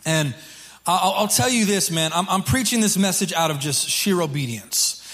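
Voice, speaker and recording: Guy pastor preaching, kinda high voice, bit hoarse, repeats himself, real informal. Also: lively intonation, fairly bright tone. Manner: informal, halting, repeats phrases. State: slightly hoarse from singing. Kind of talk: sermon to a congregation. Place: church service.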